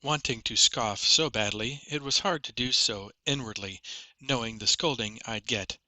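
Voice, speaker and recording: A man's voice: medium pitch, moderate pace, bright, forceful tone, no echo. A man reading a first-person fantasy story as a scripted audiobook narration.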